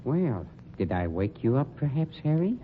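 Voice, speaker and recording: An actor in an old-time radio crime drama playing a suave, sardonic funeral director with polished, condescending delivery. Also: male voice, mid-range voice, sing-song, close to an everyday voice. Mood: dry sarcasm. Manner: polished, sarcastic, condescending, deliberate diction. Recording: dull, noisy old radio recording.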